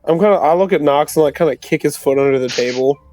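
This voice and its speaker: A man's voice: fairly high pitch, quick pace, a little mellow, dry recording. A man playing in a tabletop roleplay game, chatting casually in character.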